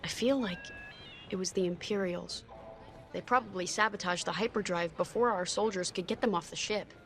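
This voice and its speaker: A voice actor playing a calm, intuitive starfighter pilot from a Force-sensitive world, earnest and reserved in manner. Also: mid-range voice, normal speed, a little hiss or hum, close to an everyday voice. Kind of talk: video game voice acting. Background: loud orchestral score.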